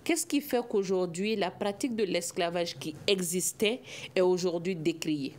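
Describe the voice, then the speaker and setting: A middle-aged woman hosting a studio debate, asking questions in a clear, formal way. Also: lowish voice, normal speed, darkish tone. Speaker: host. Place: television studio. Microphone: desk microphone.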